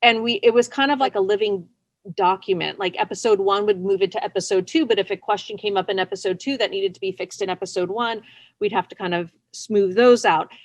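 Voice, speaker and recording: A woman's voice: mid-range voice, a little fast. A woman chatting casually as an interview guest, a writer with a self-deprecating, chatty manner. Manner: chatty, self-deprecating, often laughing lightly as she talks.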